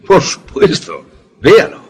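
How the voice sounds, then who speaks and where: A man playing a courteous, eccentric scientist in a suspense radio play, formal and deliberate as he explains his invention. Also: natural voice. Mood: explanatory, assured.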